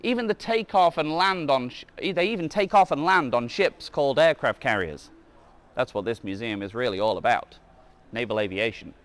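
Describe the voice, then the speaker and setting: A man's voice: fairly high pitch, a bit bright. A man reading a children's book aloud as a museum guide, adding casual asides and now and then correcting his slips. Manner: stumbles over words and corrects himself.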